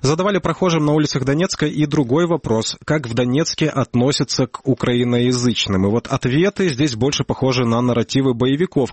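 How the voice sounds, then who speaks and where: A man narrating a news report in a measured voice, with an occasional hesitation between phrases. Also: medium pitch, darkish tone, no room sound. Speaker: news correspondent.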